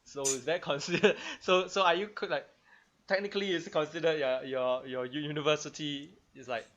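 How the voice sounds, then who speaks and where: A man's voice: a little high, fairly even intonation, brisk, a bit bright. A man from Singapore with a Singaporean accent, hosting a casual video call chat, chatty with many fillers. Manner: chatty, laughing, filler-heavy. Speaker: host. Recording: dull lo-fi sound.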